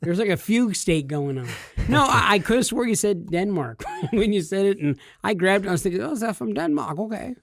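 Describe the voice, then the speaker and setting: A man's voice: high voice, fairly quick, vocal fry, few pauses, no echo, studio quality. A man co-hosting a gaming podcast, bantering and spinning absurd jokes with a straight face. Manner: goofy, spins absurd deadpan jokes. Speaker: podcast co-host. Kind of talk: joking casual podcast banter.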